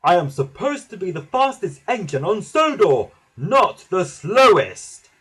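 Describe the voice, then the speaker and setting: A man's voice: high voice, sing-song, a little slow, fairly bright tone, slightly rough, pitched above the performer's own voice, heavily acted. A man voicing a proud, pompous male express engine in a children's storybook, huffing with indignation. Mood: indignant.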